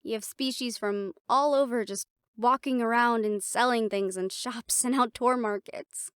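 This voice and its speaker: High-voiced chatty siren-banshee woman played by an actress. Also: clear, resonant tone, no room sound, studio quality, natural voice. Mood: wistful, chatty. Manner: casual, chatty, halting, with small laughs. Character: half-siren, half-banshee woman, playful, chatty, wistful about home. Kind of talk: intimate audio roleplay, one-sided conversation with listener.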